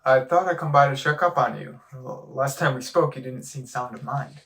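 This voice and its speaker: A concerned, somewhat frustrated doctor, played by a man in an audio drama. He has a middle-pitched voice and an earnest, pressing delivery. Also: moderate pace, fairly bright tone, natural voice. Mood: concerned, probing.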